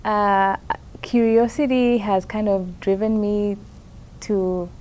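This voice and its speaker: A young woman from Zambia speaks in a warm, mellow voice. She answers interview questions softly and haltingly, restarting phrases and filling pauses as she thinks aloud. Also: mid-range voice, steady tone. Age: young adult. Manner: hesitant, many fillers and restarts, soft-spoken. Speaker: master's student in plant science. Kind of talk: conference interview, casual answers.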